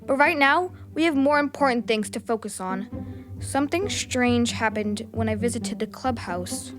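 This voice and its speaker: An actress voicing a spirited, curious young girl with a direct, expressive manner in a children's audio drama. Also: medium pitch, normal speed, music in the background, lightly acted. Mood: friendly, wry.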